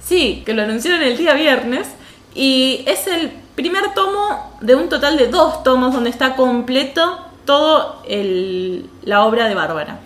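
Higher-voiced Argentine woman, echoey room. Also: expressive, measured pace. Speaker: podcast co-host. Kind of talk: casual podcast chat. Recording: roomy echo.

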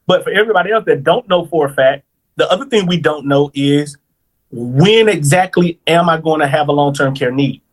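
Man, 30s, high voice, financial planner guest, chatty and lively, keeps checking in with the listener. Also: fairly quick, poor audio. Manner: lively, explains step by step with repeated "right?" checks. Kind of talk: casual podcast conversation.

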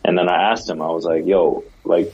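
A man's voice: low-pitched, steady tone, brisk, darkish tone, dull audio, a little hiss or hum. A man co-hosting a casual podcast, rambling along with lots of "like" and restarts. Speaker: podcast co-host.

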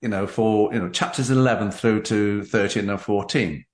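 A man's voice: on the low side, moderate pace, reverberant. A man hosting a book interview, speaking emphatically and repeating phrases and tag questions for effect. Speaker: host of a book talk show. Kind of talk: interview, discussing a book with its author.

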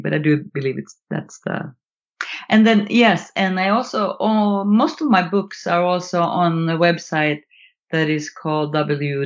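A woman's voice: deep voice, dull audio. A woman, a native Swedish speaker with a Swedish accent, talking reflectively as an interview guest. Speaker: guest, author and trainer in nonviolent communication.

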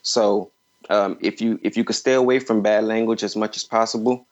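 Dull, lo-fi audio of a man with a fairly low voice. He is a filmmaker guest answering casually, giving advice in a halting way and often doubling back on his words. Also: steady tone.

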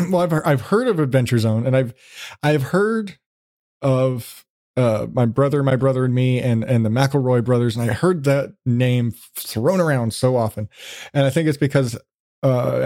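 A man's voice: mid-range voice, moderate pace, a little mellow, no room sound, studio quality. A man hosting a casual podcast interview, talking in a rambling, self-deprecating way with casual swearing. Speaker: podcast host.

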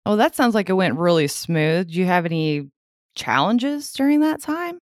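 Lively low-pitched woman podcast host. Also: normal speed, no room sound, studio quality. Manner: friendly, laughs along, brief reactions. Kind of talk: casual interview chat.

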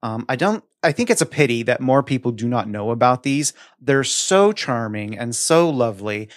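A man's voice: medium pitch, sing-song, normal speed, dry recording, clean studio sound. A man co-hosting a casual podcast, recommending things in an emphatic, gushing and slightly wry way. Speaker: podcast co-host. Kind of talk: casual book recommendation chat.

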